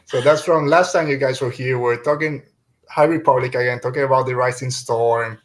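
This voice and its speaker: A man's voice: medium pitch, steady tone, a little fast. A man hosting a podcast in a casual, rambling, joking way. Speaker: podcast host.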